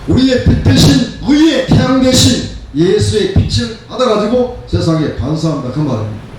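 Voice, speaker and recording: Echoey, higher-voiced elderly male preacher. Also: sing-song, normal speed. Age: 70s. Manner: emphatic, repeats phrases in parallel for stress. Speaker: pastor preaching. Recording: very echoey room, distant mic, faint noise.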